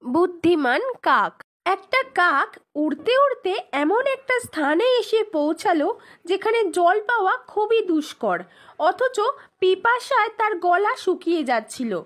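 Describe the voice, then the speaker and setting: A woman narrating scripted moral tales for children in a deliberate, phrase-by-phrase storytelling manner. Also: very high voice, sing-song, fairly bright tone. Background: music.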